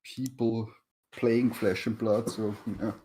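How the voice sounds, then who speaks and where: A man with a German accent, a non-native English speaker, chatting as a guest and halting often to search for words. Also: fairly low pitch, steady tone, mellow, a little hiss or hum, rough recording. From Austria or Germany. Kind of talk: casual chat.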